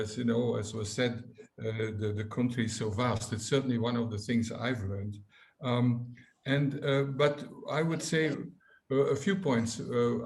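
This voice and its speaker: A man's voice: medium pitch, a little mellow, slight background noise. A man for whom English is not his first language, answering on a webinar panel in a thoughtful way over a video call. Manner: thoughtful, many fillers and restarts.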